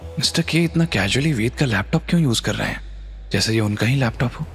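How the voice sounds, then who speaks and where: A man's voice: medium pitch, lively intonation, brisk, close to an everyday voice. A man voicing an earnest, questioning businesswoman who sounds surprised, in an audio drama. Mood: puzzled. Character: puzzled, earnest young businesswoman, easily shocked. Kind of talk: dramatised audio series acting. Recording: music bed under the voice.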